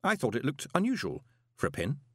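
Man playing a shrewd, lively postmaster. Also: mid-range voice, brisk, slightly rough, no echo, clean studio sound, pitched above the performer's own voice. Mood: smooth-talking. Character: shrewd, wry adult male postmaster.

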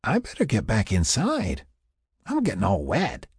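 A man's voice: lowish voice, wildly swooping pitch, measured pace, breathy edge, dry recording, studio quality, voice pushed up, heavily acted. A man voicing a playful, bossy, tipsy young woman with a drunken lilt in an audiobook story. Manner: drunken lilt, teasing, exclaiming.

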